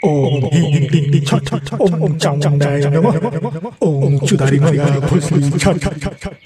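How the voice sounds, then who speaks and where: A man's voice: a little high, a crowd in the background, close to an everyday voice. A man voicing a sinister village occultist in a comedy cartoon, droning mock ritual mantras. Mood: ominous chanting. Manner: slow, droning ritual chant. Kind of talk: Bengali comedy cartoon acting.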